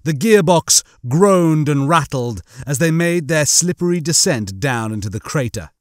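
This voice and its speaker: Lively male audiobook narrator, dry sound. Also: on the high side, unhurried, no echo. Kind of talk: scripted comic sci-fi story narration.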